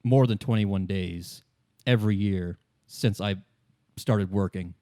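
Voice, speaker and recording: A composed, world-weary businesswoman voiced by a man speaks in a lowish voice with measured, halting phrases. She is cryptic, in the style of a tabletop game character, recorded dry and close. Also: mellow, no room sound. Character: cryptic, world-weary woman of a shipping company.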